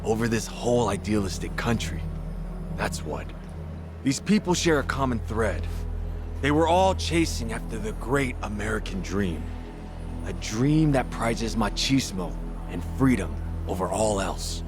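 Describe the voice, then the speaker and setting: A man playing a composed, condescending casino owner and crime boss in a video game, speaking in a formal, measured way. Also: fairly low pitch, lively intonation, over music. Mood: smug lecturing. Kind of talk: English video game voice acting.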